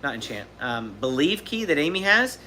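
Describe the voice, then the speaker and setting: A man's voice: on the high side, big pitch swings, normal speed, sharp tone, a little hiss or hum. A man in his thirties hosting a live question-and-answer stream, talking casually and rambling with fillers and restarts. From Southern California. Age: 30s. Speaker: livestream host.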